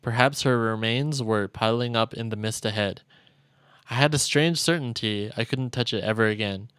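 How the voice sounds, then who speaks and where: A man's voice: mid-range voice, moderate pace, fairly bright tone, smooth, no room sound, studio quality. Solemn man reading out dramatic game narration. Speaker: streamer. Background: game music.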